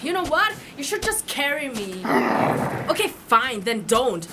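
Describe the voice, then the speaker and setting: Woman playing high, animated, sarcastic grumbler. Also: a little slow. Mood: sarcastic grumbling. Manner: flippant, complaining, casual modern speech. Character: sarcastic, grumbling human woman lost in fantasy wilderness. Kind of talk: fantasy audio drama acting. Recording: clear background noise.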